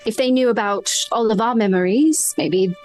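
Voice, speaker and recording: A woman's voice: medium pitch, moderate pace, a little mellow. A woman playing a tabletop roleplaying game, thinking aloud in a tentative, casual way. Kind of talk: improvised in-game discussion and casual table talk. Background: background music.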